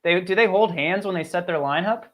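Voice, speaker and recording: A man's voice: sits high, fairly quick, fairly bright tone. A man co-hosting a fantasy sports show with casual, wry, joking banter.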